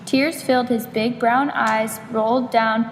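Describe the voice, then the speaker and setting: A woman reading a children's storybook aloud in an expressive narrating style. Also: on the high side, unhurried. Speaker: storybook reader. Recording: echoey reverberant room, faint noise.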